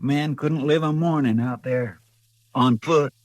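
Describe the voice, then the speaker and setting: An actor playing a folksy, deferential cowboy sidekick with a homespun drawl, in a 1950s radio western. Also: male voice, medium pitch, unhurried, lightly acted. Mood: doubtful. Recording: vintage radio drama recording.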